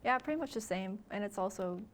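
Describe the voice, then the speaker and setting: A woman's voice: medium pitch, expressive, quick pace, slight background noise. A young woman student journalist from Michigan, chatting casually and hesitantly, with lots of fillers. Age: young adult. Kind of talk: casual interview chat.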